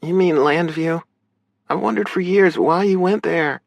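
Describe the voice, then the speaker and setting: A man's voice: a little high, measured pace, vocal fry, no echo, voice pushed up. A man voicing a caring, frank adult big sister in an audiobook, speaking with earnest, reassuring emotion. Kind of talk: audiobook character dialogue.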